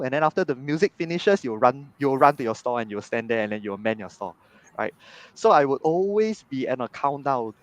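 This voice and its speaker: A man, chatting as a guest on a video call, animated and tagging his sentences with "right?". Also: medium pitch, no room sound. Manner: animated, frequent "right?" tags. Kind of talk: casual storytelling in an interview. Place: home room on video call.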